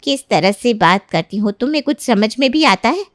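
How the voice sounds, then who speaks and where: An indignant older mother-in-law acted out by a woman in a moral story. Her very high voice is recorded dry and carries a scolding manner. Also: expressive, normal speed, dry recording, close to an everyday voice.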